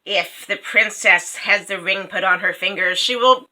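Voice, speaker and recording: A woman voicing a cruel, gleeful raven who cackles out a dark prophecy in a loud, high voice. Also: unhurried, poor audio. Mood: shrill gloating.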